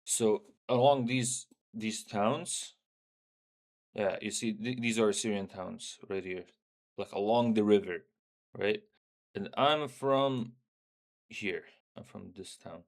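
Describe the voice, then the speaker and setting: A man's voice: on the low side, slow. A young man from Syria, streaming, talking loosely and bluntly, swearing and often tagging "right?" onto his points. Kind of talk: casual livestream talk.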